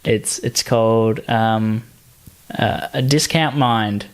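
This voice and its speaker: A man co-hosting a podcast, chatting casually and pitching movie ideas in a halting, hesitant way with pauses. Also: clean tone. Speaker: podcast co-host.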